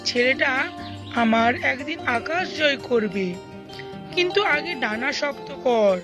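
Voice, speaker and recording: A woman's voice: high voice, lively intonation, slow. A woman voicing a serious, protective male father bird in a cartoon, speaking in a grave, warning tone. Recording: music playing behind. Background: background music.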